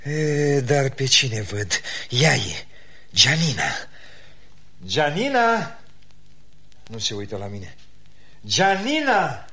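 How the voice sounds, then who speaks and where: An actor playing a grumbling, lovestruck tavern keeper in a theatrical radio comedy, thinking aloud and calling out. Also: male voice, mid-range voice, big pitch swings, long pauses, sharp tone, husky, full character voice. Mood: eager, pleading. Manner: muttering to himself, then calling out.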